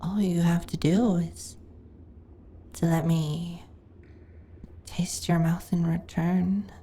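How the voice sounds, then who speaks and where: Woman acting a slow, breathy slime monster girl. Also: on the low side, flat delivery, dark tone. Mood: hushed, shy. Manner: breathy, hesitant stammering, drifting into whispers. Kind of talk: intimate roleplay audio acting.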